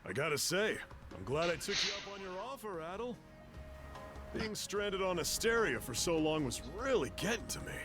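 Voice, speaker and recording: A cheerful, easygoing adventurer from a video game. The voice sits fairly high and moves with lively pitch. He talks in a breezy, teasing, colloquial way, with music clearly behind him. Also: normal speed. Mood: upbeat. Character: cheerful, easygoing adventurer and loyal male travel companion.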